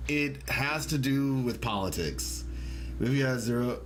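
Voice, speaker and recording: A man's voice: medium pitch, unhurried, a little hiss or hum. A man chatting casually as a game streamer on a livestream, rambling and reading out chat comments as he goes.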